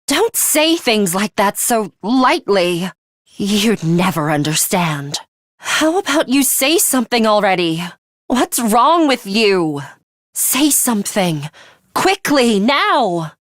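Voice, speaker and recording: A woman's voice: mid-range voice, very animated, slow pace, no echo, clean studio sound. A woman voicing an aloof, scornful young female fighter with a sadistic streak in a video game, curt and condescending in manner. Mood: flustered, demanding. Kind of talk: English video game voice acting.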